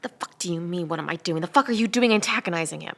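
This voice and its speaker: A woman playing an earnest, exasperated detective with a sharp tongue in an audio drama table read. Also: fairly low pitch, fast talker, vocal fry. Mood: stern, annoyed. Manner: earnest, blunt, sardonic.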